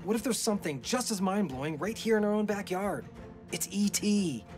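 A man's voice: high-pitched, animated, normal speed, a little mellow. A man playing an earnest, driven marine biologist father, speaking persuasively and with passion in an audio drama. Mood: impassioned. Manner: impassioned, persuasive. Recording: music behind the voice.

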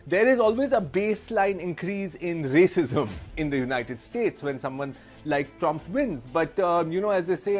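A man giving thoughtful, hesitant interview commentary as an analyst. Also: high voice. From Pakistan. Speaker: political analyst. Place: small indoor room lined with books.

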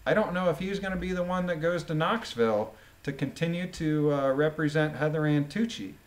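Male amateur commentator, slightly higher pitch, reads documents aloud with informal, emphatic asides. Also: brisk. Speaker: commentator, former police officer. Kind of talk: reading a court transcript aloud with informal commentary.

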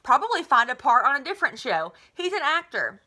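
A woman's voice: high voice, very animated, a little fast, pushed and bright, full character voice. A woman voicing an angry, scornful teenage girl who snaps dismissively, in a children's novel read-aloud. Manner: shrieking, snapping, dismissive.

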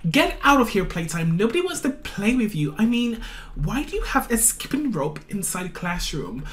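A woman's voice: mid-range voice, big pitch swings, moderate pace, lightly acted. Woman playing a sneering schoolgirl bully. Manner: sneering, taunting. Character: mean bossy schoolgirl bully. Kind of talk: roleplay skit.

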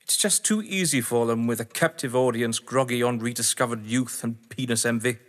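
A man's voice: medium pitch, normal speed, lightly acted. A man acting in an audio drama as a witty, haughty husband under questioning, verbose, sardonic and theatrical. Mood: bitter, sardonic. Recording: echoey hall-like reverb.

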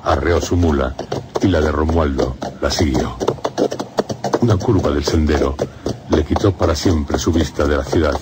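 A man with a Castilian Spanish accent narrating a literary radio drama in solemn, measured phrases. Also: very deep voice, very noisy. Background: music.

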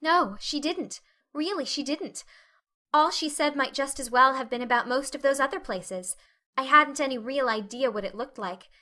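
A woman voicing a dreamy, chatty, excitable eleven-year-old girl in a dramatic audiobook, effusive and breathless in manner. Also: sits high, normal speed, voice pushed up. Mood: rapturous. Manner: effusive, breathless, wide-eyed.

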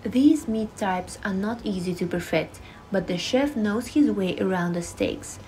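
A woman's voice: mid-range voice, animated, measured pace, mellow, a little hiss or hum, poor audio. Woman narrating a scripted news feature.

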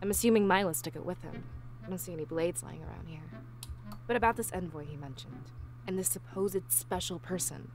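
A woman's voice: lowish voice, brisk, close to an everyday voice. An actress playing an earnest, questioning young female fox-like villager in a video game, serious and insistent. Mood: suspicious, resolute.

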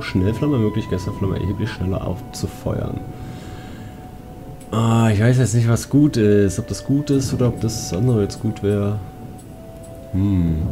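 A man with a fairly low voice comments on a video game as he plays. He talks colloquially, partly to himself and partly to his viewers. Game music plays clearly behind him. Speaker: let's player. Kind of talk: casual gameplay commentary.

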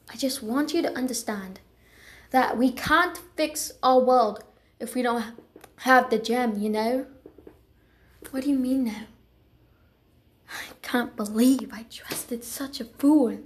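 A woman voicing a young, earnest and proud female dragon cat in dramatic cartoon character lines. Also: high-pitched. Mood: pleading.

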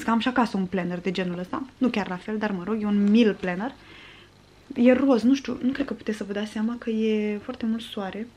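A woman's voice: a little high, a little mellow, a little hiss or hum. A woman in her twenties from Romania, a vlogger chatting to camera in a casual, rambling, conversational way. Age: 20s. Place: small furnished room at home, indoors.

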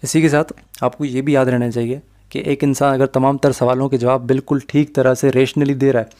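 Male law tutor, Pakistani Urdu accent, flat, dark, mellow delivery; lecture over slides. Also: medium pitch, fairly quick. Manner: mixes Urdu-inflected Hindi with English legal terms, steady explaining.

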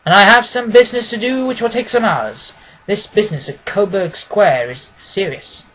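A man's voice: sits high, normal speed, a bit bright, low-quality recording. A man voicing a keen, confident male detective in an audiobook, with an emphatic, decisive way of talking. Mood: urgent, decisive. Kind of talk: audiobook character dialogue.

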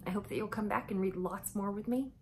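A woman in her thirties reading a picture book aloud to children with expressive, exclamatory delivery and dramatic emphasis. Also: mid-range voice, quick pace, a little hiss or hum. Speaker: children's storyteller.

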